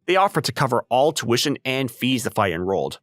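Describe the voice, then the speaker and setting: A man playing an ordinary, level-headed high school boy who narrates a manga dub story in a wry, casual way. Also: very animated, fairly quick, fairly bright tone, faint music behind. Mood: wry, explanatory. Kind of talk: scripted first-person story narration and dub acting.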